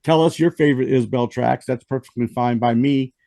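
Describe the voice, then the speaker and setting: Gravelly, worn-out man hosting a music chat. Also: mid-range voice, steady tone, fairly quick, mellow, no echo, clean studio sound. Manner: rambling, with frequent restarts and fillers. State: losing his voice after the flu. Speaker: host. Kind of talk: casual music review chat.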